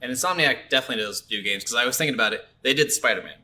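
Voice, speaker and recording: A man's voice: medium pitch, racing, loud music behind the voice. A man co-hosting a video game podcast, chatting casually about games over a slightly noisy recording. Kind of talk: casual chat, game review.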